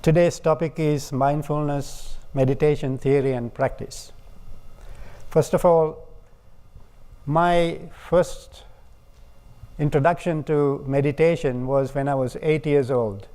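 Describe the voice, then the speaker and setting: A man from Sri Lanka giving a public lecture as a guest speaker, talking in measured, halting phrases. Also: a little high, steady tone, warm tone.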